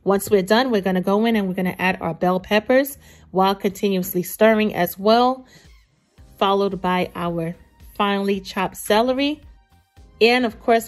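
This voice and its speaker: A woman narrating a recipe casually as a cooking host, chatty and playful, talking straight to viewers. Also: medium pitch.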